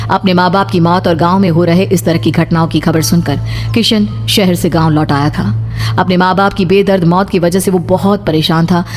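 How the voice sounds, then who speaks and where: A woman reading scripted horror story narration for a cartoon. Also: lowish voice. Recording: prominent music under the voice. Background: loud background music.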